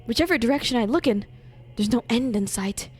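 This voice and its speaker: A woman's voice: on the high side, lively intonation, fairly quick, husky. A woman streamer doing jokey live game commentary and reading dialogue aloud theatrically. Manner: jokey, playful, theatrical, swears casually. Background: game music.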